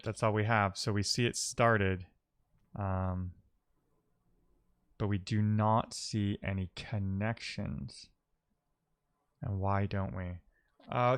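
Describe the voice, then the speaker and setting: A man with a fairly low, clean and resonant voice talks through code on a live stream. He thinks out loud casually, muttering to himself as he troubleshoots and dropping wry little asides. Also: a little slow, pauses often, clear, resonant tone. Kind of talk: casual thinking-aloud tech commentary.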